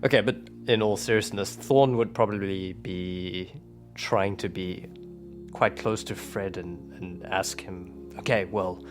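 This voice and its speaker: Low-voiced man roleplaying, faint music behind. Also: steady tone, a little slow. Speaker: player in a tabletop roleplaying game. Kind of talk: in-character improvised roleplay and table talk.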